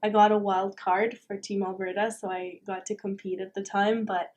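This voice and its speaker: A woman's voice: mid-range voice, little pitch movement, normal speed, darkish tone. A young woman from Romania, a university tennis player, talking casually as a podcast guest with frequent fillers and light laughs. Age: young adult. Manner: chatty, laughs often, many fillers. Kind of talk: casual interview.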